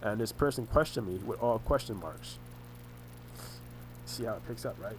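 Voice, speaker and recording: A man recounting family arguments in a rambling monologue, halting and often restarting his words, his voice congested and his throat sore. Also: fairly low pitch, expressive, a little hiss or hum, rough recording. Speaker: vlogger recounting family conflicts. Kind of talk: rambling personal monologue over a screen recording.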